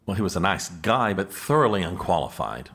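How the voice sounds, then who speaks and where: A man plays an American political right-hand man in an audio comedy. His voice is low with lively swings in pitch. He is formal and officious, explaining things with exasperated patience. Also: a little fast, exaggerated voice. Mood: patiently explaining. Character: exasperated, officious male presidential chief aide. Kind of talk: comedic audio drama acting.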